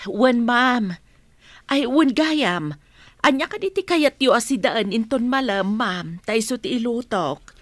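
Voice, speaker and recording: A dutiful Ilocano housekeeper and cook, voiced by a woman, caring and respectful in a radio drama. She speaks slowly in a slightly high voice, recorded dry and close. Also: lively intonation, dry recording. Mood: obliging, grateful.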